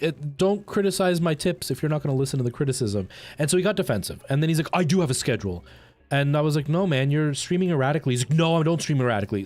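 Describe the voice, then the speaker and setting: A man hosting a livestream, chatting casually and colloquially with his viewers in a rambling, animated way. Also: on the high side. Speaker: live streamer and host.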